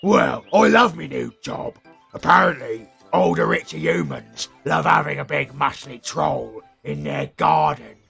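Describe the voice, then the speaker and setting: Gravelly, simple-minded troll chieftain. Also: male voice, a little high, measured pace, a bit bright, faint music behind, heavily acted. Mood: cheerful, matter-of-fact. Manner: blunt, naive, rough colloquial speech. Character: big muscly savage troll chieftain, simple, cheerful, literal-minded henchman.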